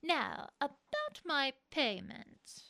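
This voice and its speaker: Woman playing a high-voiced, poised pony fashion designer. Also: wildly swooping pitch, drawn-out words, gravelly, bright, forceful tone, exaggerated voice. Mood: dryly businesslike. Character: refined female pony fashion designer, inspired and businesslike.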